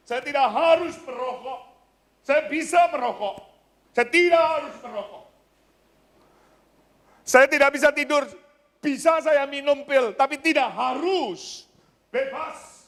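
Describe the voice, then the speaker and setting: A high-pitched male pastor delivers a church sermon in a hall with long reverb. He repeats key phrases emphatically and puts rhetorical questions to the congregation. His delivery moves between raised exclamations and quieter asides. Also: a little slow, pauses often.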